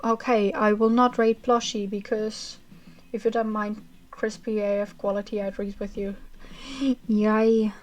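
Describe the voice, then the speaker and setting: A woman streamer chatting casually with her viewers as she wraps up a livestream, rambling with fillers and a self-described monotonous voice. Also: mid-range voice, unhurried, poor audio. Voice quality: monotonous reading voice. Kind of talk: casual chat ending a livestream.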